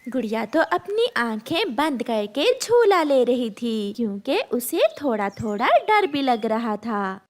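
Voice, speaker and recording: A woman's voice: fairly high pitch, sing-song, takes it slowly, few pauses. A woman narrating a scripted children's story.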